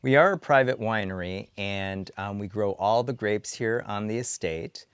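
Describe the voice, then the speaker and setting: A young man who grew up speaking Italian first, chatting casually as a winery owner, with a relaxed manner and frequent ums. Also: on the low side, moderate pace, clean tone. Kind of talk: casual chat to camera.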